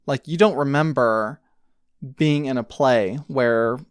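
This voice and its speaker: A man improvising tabletop game narration as game master, telling the story in a halting, conversational way. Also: medium pitch, smooth, no room sound, studio quality.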